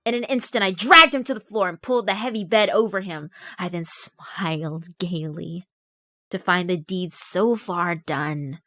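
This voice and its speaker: A woman's voice: on the low side, a little slow, no room sound. A woman playing a mad, gleeful, unravelling murderer in a theatrical Gothic horror audiobook narration. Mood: frenzied, then cold, hushed, smug. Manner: theatrical, swinging from hushed whispers to frantic shouts. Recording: music behind the voice. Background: eerie background music.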